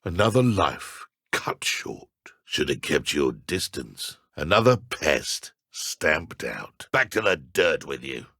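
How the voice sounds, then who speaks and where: An actor playing a smug, cold-blooded dark wizard henchman in a video game, with a British accent and a haughty, menacing manner. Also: extremely low pitch, very animated, slow, few pauses, husky, no echo, studio quality, full character voice. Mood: gloating, menacing. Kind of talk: video game character voice lines.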